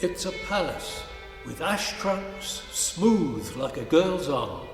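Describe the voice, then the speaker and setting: A man giving a measured, declamatory scripted literary narration in a large reverberant space. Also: a little high, sing-song. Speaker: narrator of a musical cantata. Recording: echoey hall, music behind.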